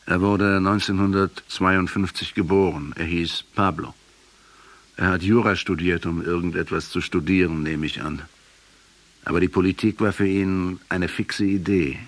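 A man acting in a radio drama as an earnest, principled Argentine professor who argues deliberately and accusingly. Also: deep voice, steady tone. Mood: sombre, recounting. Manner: deliberate, argumentative. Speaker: radio play actor.

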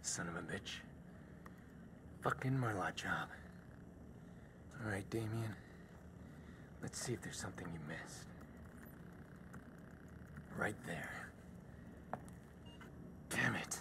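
An actor playing a grim, guarded vigilante hacker in a video game, gravelly and terse. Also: male voice, slow pace, pauses often, background noise. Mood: muttering, frustrated. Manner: terse, low-key. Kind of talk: cinematic video game acting.

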